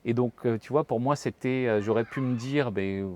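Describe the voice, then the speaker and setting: A man's voice: mid-range voice, brisk, warm tone. A French man speaking as a guest in a casual interview, thoughtful and hesitant, often restarting his sentences. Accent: slight French accent in English. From France. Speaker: guest, HR professional.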